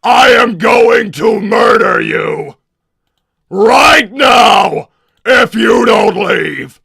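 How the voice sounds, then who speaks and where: A man voicing an egomaniacal, scheming cartoon villain scientist in a theatrical, booming, shouting manner. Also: lively intonation, very slow, gravelly, breathy edge, dry recording, full character voice. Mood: furious outburst. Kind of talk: improvised character livestream Q&A.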